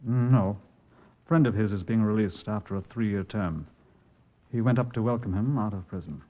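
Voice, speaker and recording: Dull vintage radio sound, male actor as dogged subordinate detective, fairly low voice, terse, eager, crime drama. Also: moderate pace, natural voice. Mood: keen, determined. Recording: muffled old-time radio recording.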